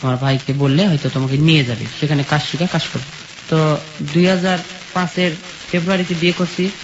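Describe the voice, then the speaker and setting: A young man from rural Bangladesh recounts his life step by step in plain, colloquial words, quoting what people said. His voice is high for a man, and the recording is dull with a clear sizzling noise behind him. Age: young adult. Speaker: guest telling his life story. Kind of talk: personal storytelling in an interview, quoting dialogue.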